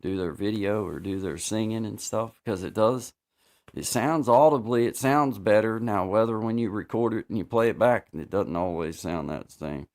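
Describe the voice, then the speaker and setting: A man with a very low, gravelly voice hosts a relaxed livestream chat. He rambles, repeats words and fills pauses with "uh" as he talks to viewers. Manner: rambling, repeats himself, many fillers. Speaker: livestream host.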